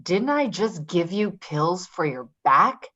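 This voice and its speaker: A woman's voice: on the low side, very animated, slow, bright, forceful tone, muffled recording, heavily acted. Pushy, swooping-voiced streetwise woman played by an actress. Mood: menacing. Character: tough, wheedling, manipulative down-on-her-luck woman caregiver. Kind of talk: play reading.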